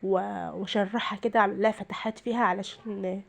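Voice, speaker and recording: A woman's voice: mid-range voice, fairly even intonation, fast talker, vocal fry. A tired woman with a rural Egyptian accent chats through her home cooking, explaining each step to her viewers. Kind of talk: casual cooking narration. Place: home kitchen.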